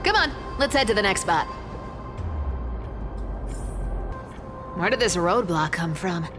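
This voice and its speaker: A woman's voice: mid-range voice, expressive, brisk, close to an everyday voice. An actress playing a sly, world-weary female thief from a video game, with a nonchalant, teasing manner. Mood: teasing, irked. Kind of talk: video game character acting. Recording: game music behind the voice.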